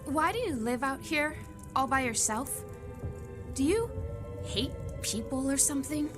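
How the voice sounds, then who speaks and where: A woman's voice: high voice, animated, measured pace, music in the background. A woman playing a blunt, inquisitive young pregnant woman in an audio drama. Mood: blunt, probing.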